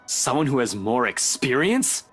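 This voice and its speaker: A man's voice: medium pitch, very animated, unhurried, a bit bright, soft background music. An actor playing a hot-headed young male police detective in a game, defiant and indignant. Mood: angry, defensive. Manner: indignant, pleading. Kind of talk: visual novel voice acting.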